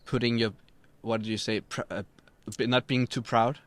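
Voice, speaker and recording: A man from Denmark with a Danish accent, interviewing conversationally in hesitant, halting phrases. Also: medium pitch, level tone, no echo. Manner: hesitant, halting phrasing, self-described introvert. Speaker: podcast host, interviewer.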